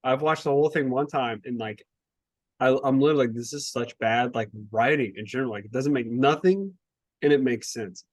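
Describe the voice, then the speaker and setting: A man co-hosting a casual book review podcast, talking in an animated, exasperated way and swearing casually. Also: mid-range voice, fairly quick, no echo. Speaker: podcast co-host.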